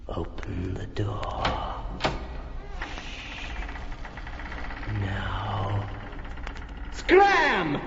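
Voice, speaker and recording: Hammy, slow, echoing vampire count. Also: male voice, on the low side, heavily acted. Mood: hushed hypnotic menace. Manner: hammy, drawn-out, alliterative, gleefully sinister delivery. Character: gloating theatrical male vampire count, menacing prankish villain. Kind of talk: campy horror comedy audio record. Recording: muffled, echoey, noisy old flexi-disc record.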